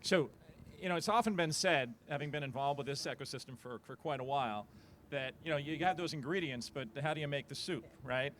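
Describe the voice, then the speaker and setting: A man's voice: fairly high pitch, a little fast, fairly bright tone, a little hiss or hum. A man moderating a conference panel, conversational, often checking in with "right?". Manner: conversational, seeks agreement with "right?".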